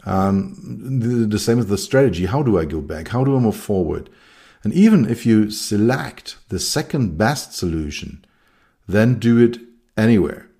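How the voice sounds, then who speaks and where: A middle-aged man with a German accent talks through his ideas on his own. His voice sits very low and sounds mellow. He pauses, repeats words and fills gaps with ums. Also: very animated. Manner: thoughtful, with many fillers and restarts. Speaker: consultant and keynote speaker. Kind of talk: solo talk on design.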